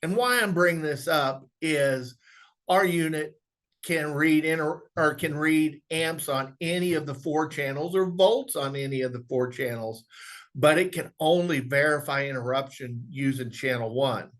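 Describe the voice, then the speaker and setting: A man presenting an instructional software walkthrough, explaining step by step in a conversational way. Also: on the high side, a little slow. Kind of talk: instructional screen walkthrough, informal webinar.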